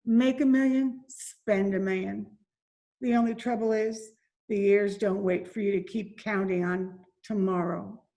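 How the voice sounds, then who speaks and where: A woman's voice: medium pitch, fairly even intonation, unhurried, warm tone, natural voice. A woman playing a faded, despairing former Broadway dancer and mother from Spain in an amateur radio drama, speaking with bitter drama. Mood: wistful, rueful. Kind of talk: amateur old-time radio drama acting. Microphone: video call recording.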